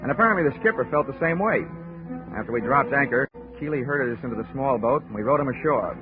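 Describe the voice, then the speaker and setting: A man's voice: medium pitch, animated, fast talker, natural voice. An actor playing a wisecracking male insurance investigator who narrates his own case in a dry, hardboiled tone, in 1940s radio acting. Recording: tinny 1940s radio broadcast with music.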